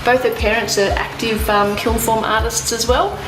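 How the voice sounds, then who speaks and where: A woman's voice: on the high side, steady tone, normal speed, reverberant, background noise. A woman who works in a glass studio, explaining the work in a friendly way with many small fillers. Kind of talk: casual interview.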